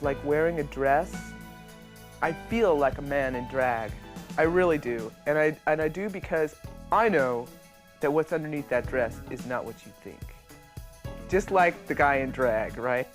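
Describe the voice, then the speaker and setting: A woman with a deep voice speaking frankly in an interview, wry, with stammering restarts. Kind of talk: candid personal interview.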